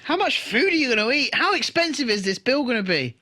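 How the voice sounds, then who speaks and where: A woman with a bright, crisp edge to her voice banters casually as a podcast co-host. Her intonation is lively, and she tends to question things with a giggly manner. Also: high-pitched, fairly quick.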